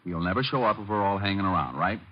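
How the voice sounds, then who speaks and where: An actor playing a brash, sardonic private detective who narrates and wisecracks in old-time radio detective style. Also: male voice, sits low, lively intonation, fast. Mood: urgent, persuasive. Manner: wisecracking, slangy. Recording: dull vintage radio recording with background music.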